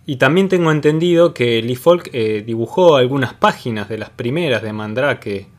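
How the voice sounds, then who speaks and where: A man's voice: medium pitch, expressive, moderate pace, roomy sound. A man with an Argentine accent hosting a podcast, chatting casually about comics. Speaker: podcast host.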